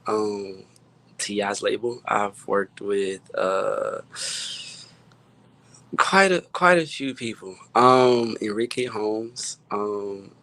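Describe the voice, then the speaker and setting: Male interview guest, low register, unhurried, modest and halting delivery. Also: sharp tone, poor audio. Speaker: guest musician and producer. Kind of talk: casual interview chat.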